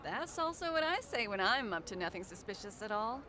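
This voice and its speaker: A woman's voice: mid-range voice, big pitch swings, fairly quick, sharp tone, a little hiss or hum. An actress playing a wry, playful, chatty young woman in a video game. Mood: teasing. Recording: music behind the voice.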